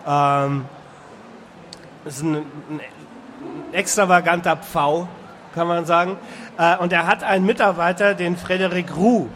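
A man interviewing a guest in casual talk, asking halting questions with many filler sounds. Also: high-pitched, steady tone, a bit bright, slight background noise.

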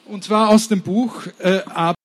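A man with an Austrian accent speaks in a high voice at a slow pace. He rambles informally, like an author easing into a live reading. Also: fairly even intonation. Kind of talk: casual introduction to a live reading.

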